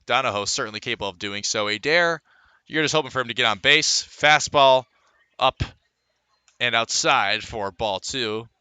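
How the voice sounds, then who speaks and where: Bright, forceful male baseball play-by-play announcer. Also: mid-range voice, normal speed, smooth, dry recording. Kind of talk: live sports play-by-play commentary.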